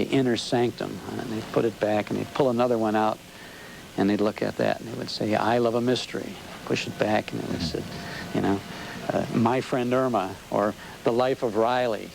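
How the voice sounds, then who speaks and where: An American man, middle-aged or older, explaining things as an unhurried storyteller while being interviewed on television. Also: mid-range voice, gravelly, darkish tone. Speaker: interview guest, old-time radio show host and collector. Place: TV studio interview. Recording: noisy 1990s local TV broadcast.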